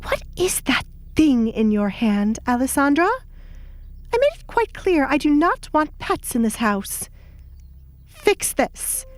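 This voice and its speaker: A woman's voice: sits high, big pitch swings, slow, no room sound, voice pushed up, heavily acted. Woman acting out imperious, cold baroness stepmother. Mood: stern. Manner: cold, commanding. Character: harsh, imperious middle-aged baroness stepmother. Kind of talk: audio drama character acting.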